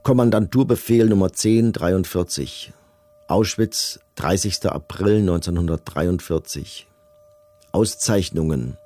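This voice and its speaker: A man's voice: sits low, measured pace, warm tone, slight background noise. A man playing a cold, bureaucratic camp officer, reading orders and records formally in a documentary radio drama. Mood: formal, official.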